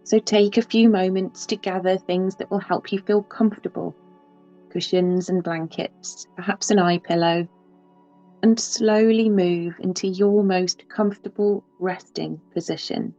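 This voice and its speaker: A woman guides a deep-rest yoga nidra in a slow, hushed, mellow voice. She lets long pauses settle between phrases. Faint music plays under a slightly dull, muffled recording. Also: steady tone. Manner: soft, unhurried, with long pauses.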